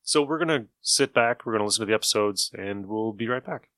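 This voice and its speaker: A man's voice: lowish voice, fast talker, a bit bright, no room sound. A man hosting a podcast, chatting casually as he introduces old radio shows. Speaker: podcast host.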